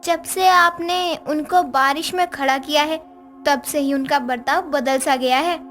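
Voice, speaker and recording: A woman's voice: sits high, fairly even intonation, measured pace, fairly bright tone, faint music behind. A woman playing a bossy, whiny young sister-in-law in a cartoon moral story. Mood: accusing, sly. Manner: demanding, complaining.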